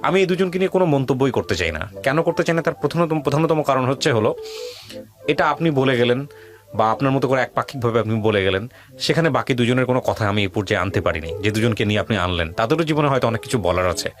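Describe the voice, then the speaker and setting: A man's voice: mid-range voice, expressive, fast talker, faint music behind. A man with a Bangladeshi accent hosting a radio interview, bluntly pressing and advising, repeating phrases and mixing in English words. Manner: mixes English words into speech. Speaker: radio show host. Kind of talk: probing interview with advice to a guest.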